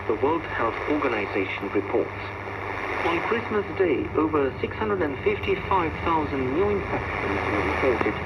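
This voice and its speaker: A man's voice: mid-range voice, lo-fi sound. Male newsreader on noisy shortwave radio. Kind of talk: scripted international news bulletin. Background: loud radio static and noise.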